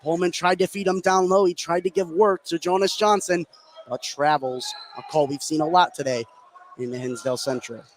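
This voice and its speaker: High-voiced male basketball play-by-play commentator, noisy gym. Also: steady tone, moderate pace. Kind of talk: live radio basketball commentary. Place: courtside in a high school gym. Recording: clearly noisy gym ambience. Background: clear gym noise.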